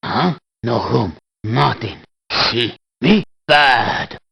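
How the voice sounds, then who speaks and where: Male game mercenary: sparse, very slow one-word barks, husky voice, dull low-rate audio. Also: lively intonation, bright, forceful tone, full character voice. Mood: terse. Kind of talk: clipped video game voice barks.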